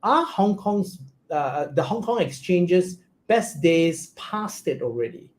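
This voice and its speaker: Man, business news host, slightly high voice, brisk questions, some fillers. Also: expressive. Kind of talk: live business interview.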